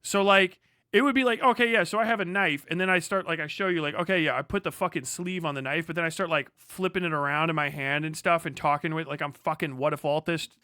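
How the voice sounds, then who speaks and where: High-pitched, bright American man, sarcastic streamer. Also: fast talker, smooth, dry recording, studio quality. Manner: sarcastic, profane, incredulous. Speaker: livestream host reacting to a video. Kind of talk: casual reaction commentary.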